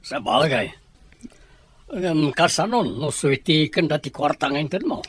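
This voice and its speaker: A man's voice: a little high, expressive, measured pace, husky, no echo. A man with a Filipino accent playing a stern, critical middle-aged father in an audio drama. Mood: questioning.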